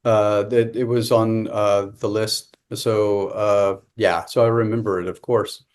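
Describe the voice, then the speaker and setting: A man's voice: on the low side, little pitch movement, a little slow, darkish tone, smooth. A man hosting an online open mic, introducing readers over a video call with lots of fillers. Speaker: reading series host.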